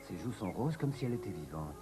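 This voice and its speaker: A man's voice: low voice, big pitch swings, quick pace, mellow, faint music behind. A man playing a noble, earnest young king in a cartoon, with a dramatic and courtly delivery. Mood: tender, solemn. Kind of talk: French-language cartoon dub acting.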